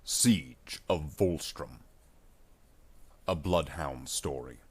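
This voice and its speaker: A man reading scripted dramatic narration of a war story in a measured storytelling delivery, without putting on character voices. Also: very deep voice, slightly rough.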